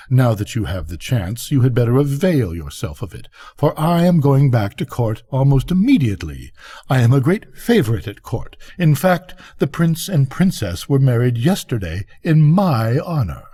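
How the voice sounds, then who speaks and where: Man playing a pompous, husky storybook rocket. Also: mid-range voice, dark tone. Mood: haughty, boastful. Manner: haughty, self-important declaiming. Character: pompous, conceited male firework rocket, haughty and vain. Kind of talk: storybook character reading.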